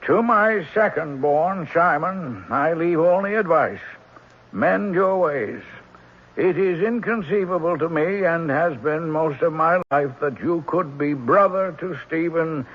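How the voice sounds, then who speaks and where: Slow, high-voiced solemn lawyer. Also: male voice, lively intonation, husky, full character voice. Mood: stern, disapproving. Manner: formal, measured, deliberate. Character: solemn, disapproving family lawyer reading a will. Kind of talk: old radio drama acting. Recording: dull vintage radio recording.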